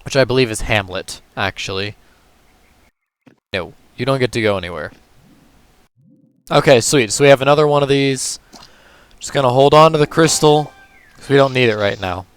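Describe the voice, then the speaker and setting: A man with a hoarse, tired voice, casually talking through a puzzle game move by move as a gaming channel host. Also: mid-range voice, moderate pace, long pauses. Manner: relaxed, talks through moves step by step. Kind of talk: casual gameplay commentary.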